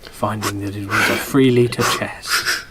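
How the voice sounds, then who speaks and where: A man narrating a comic radio play as a podcast host, with dramatic, deliberate storyteller phrasing. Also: on the low side, fairly even intonation. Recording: amateur podcast, clearly noisy background.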